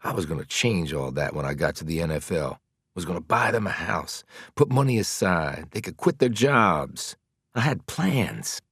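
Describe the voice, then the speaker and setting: A man's voice: sits low, sing-song, moderate pace, fairly bright tone, slightly rough, dry recording, full character voice. A bitter, angry convict who was once a football star , acted out by a man. He talks bluntly in slang, full of frustration at being wronged, as dramatic audiobook dialogue. The sound is dry. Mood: earnest.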